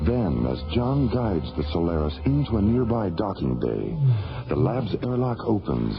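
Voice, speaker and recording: A man's voice: fairly low pitch, very animated, normal speed, vocal fry. A man giving scripted narration for an old science fiction radio drama. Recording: dull old radio recording with music.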